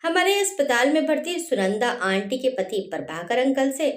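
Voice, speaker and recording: A woman voicing a young newlywed woman doctor who teases gently in a playful way. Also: high voice, very animated, normal speed. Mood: teasing, admiring. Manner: light, playful teasing. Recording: roomy echo.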